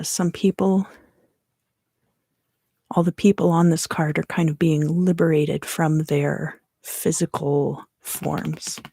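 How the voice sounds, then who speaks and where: A woman speaks in a low, husky hush with some creak, often slipping into a whisper. She gives an unhurried ASMR tarot reading in short, explanatory phrases. The sound is dry and intimate, right up on the microphone. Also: no echo. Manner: soft-spoken, often dropping into whispers. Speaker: tarot reader and ASMR host. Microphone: close microphone.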